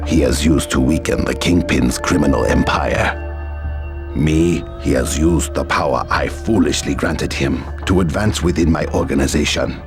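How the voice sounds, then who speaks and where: Very deep, solemn fallen crime lord. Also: male voice. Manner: formal, measured, grave. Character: defeated, dignified Japanese crime lord, solemn and formal. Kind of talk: video game cutscene acting.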